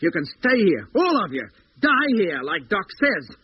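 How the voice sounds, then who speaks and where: A noisy vintage radio serial recording. a hot-tempered, suspicious spaceship designer with a fairly high voice that swings widely in pitch. He blusters and accuses with open scorn. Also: huge pitch swings, normal speed, dull audio. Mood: paranoid, bitter. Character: paranoid, hot-tempered male spaceship designer turning on his crewmates. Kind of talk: 1950s British radio drama acting.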